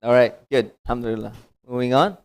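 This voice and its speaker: A man's voice: mid-range voice, fairly even intonation, fast, a little mellow. A man moderating a community panel discussion, conversational and probing, sprinkling his speech with Arabic blessings.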